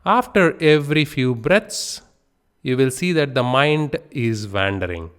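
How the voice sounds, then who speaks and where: A man guiding a beginner meditation session in a calm, unhurried way. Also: mid-range voice, smooth. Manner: slow, calm, unhurried guidance with pauses. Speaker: meditation instructor. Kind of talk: guided meditation instruction.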